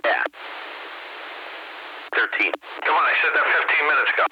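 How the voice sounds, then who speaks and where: A man's voice: a little high, expressive, moderate pace. A man running a CB radio check-in net, chatting informally and repeatedly calling out for people to check in. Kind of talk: live CB radio net calls and casual banter. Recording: dull CB radio audio with hiss.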